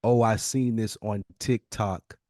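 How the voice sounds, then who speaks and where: A man's voice: on the low side, fairly even intonation, takes it slowly, a little mellow, no room sound, studio quality. A man co-hosting a podcast in casual chat, thinking aloud reflectively and circling back over his words. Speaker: podcast co-host.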